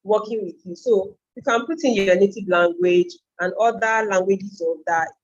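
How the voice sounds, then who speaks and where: A woman's voice: medium pitch, moderate pace, highs cut off. A woman with a Nigerian accent and Yoruba as a native language gives an informal step-by-step tutorial, explaining and thinking aloud as she goes. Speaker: online freelancing trainer.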